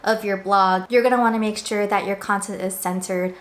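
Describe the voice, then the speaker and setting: A woman in her late twenties chats to her viewers in a relaxed, upbeat manner, explaining things step by step. Her voice sits at a middle pitch and sounds slightly husky from a swollen throat. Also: fairly quick. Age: late 20s. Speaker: vlogger and blogger. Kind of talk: casual talk to camera. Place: indoors at home.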